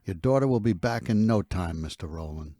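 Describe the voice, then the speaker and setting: Man playing a low-voiced menacing security officer. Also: animated, brisk, dry recording. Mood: cold menace. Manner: quietly threatening, commanding. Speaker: actor in an audio drama. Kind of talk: scripted audio drama acting.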